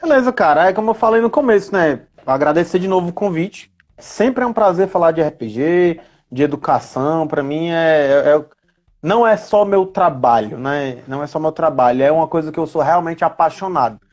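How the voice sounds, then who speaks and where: A man's voice: a little high, expressive, a little fast, a little mellow. A man speaking as a guest in a casual live chat, informal, repeating phrases and adding frequent tag questions. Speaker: guest, RPG educator. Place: remote live video chat on social media.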